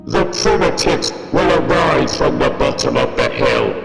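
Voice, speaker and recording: A man's voice: medium pitch, huge pitch swings, measured pace, gravelly, full character voice. A man voicing an imperious alien war machine in a sci-fi fan audio drama, barking in staccato through a robotic effect. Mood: menacing. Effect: robotic ring-modulated voice. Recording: echoing, loud music behind. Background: loud dramatic music.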